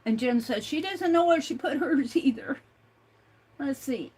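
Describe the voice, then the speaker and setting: Slow, chatty woman, high voice. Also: expressive, a little mellow. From United States. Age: older. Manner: slow, chatty, with many fillers and restarts. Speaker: art livestream host. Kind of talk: casual livestream chat reading comments.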